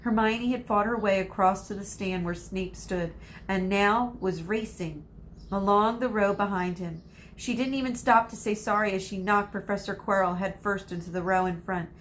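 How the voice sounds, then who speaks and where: A woman's voice: medium pitch, rough recording. Woman reading a storybook with dramatic emphasis. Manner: dramatic emphasis, pauses for suspense. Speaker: read-aloud storyteller, teacher. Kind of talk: expressive storybook narration. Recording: faint background noise.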